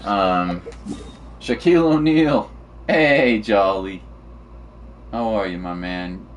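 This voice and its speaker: A man's voice: fairly low pitch, slow, a little hiss or hum. A man giving casual live commentary on a video game as a streamer, talking in an informal, rambling way. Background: game music.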